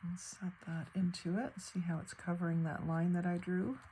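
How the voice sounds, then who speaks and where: A woman with a slightly hoarse, crackly voice, thinking aloud in a casual crafting tutorial at her table. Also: on the low side, steady tone, dark tone. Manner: thinks aloud while working, unhurried and chatty. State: slightly hoarse, fighting off a cold. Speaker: craft channel host.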